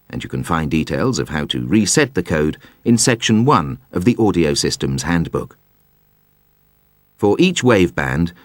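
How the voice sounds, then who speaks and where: A man reading scripted instructional narration in a measured, precise, explanatory way. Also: sits low, no echo. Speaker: narrator of a car instruction video.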